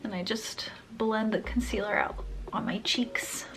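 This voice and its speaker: A woman's voice: medium pitch, fairly even intonation, unhurried, fairly bright tone, whispered, rough recording. A young woman chatting casually through a makeup tutorial, a little sniffly, rambling and trailing off mid-sentence. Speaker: beauty vlogger.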